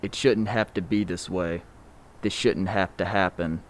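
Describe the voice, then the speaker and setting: A man's voice: sits low, steady tone, measured pace. A man giving an earnest, reflective spoken monologue.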